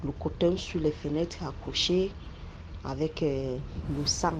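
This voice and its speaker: Halting man. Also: a little high. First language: English. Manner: halting, searching for French words, many restarts. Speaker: story contributor. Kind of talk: telling a personal spooky story. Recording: dull lo-fi sound with faint noise.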